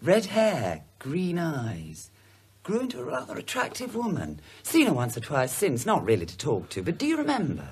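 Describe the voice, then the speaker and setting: A woman's voice: deep voice, huge pitch swings, normal speed, slightly rough, exaggerated voice. An actress in a horror radio play, playing a thoughtful, gossipy woman who talks in a hesitant, musing way. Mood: musing, recalling.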